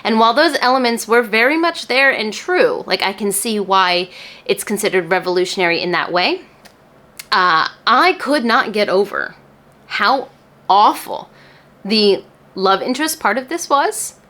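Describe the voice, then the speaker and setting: Chatty, animated woman book vlogger. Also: mid-range voice, unhurried. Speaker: book reviewer and video host. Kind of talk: casual book vlog talk to camera.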